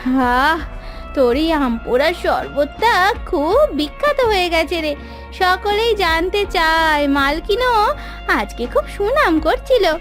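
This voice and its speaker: Cartoon village maid, acted by a woman: very high voice, gossipy, weary, complaining to her friend. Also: lively intonation, takes it slowly, fairly bright tone, faint music behind, full character voice. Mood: chatty complaining.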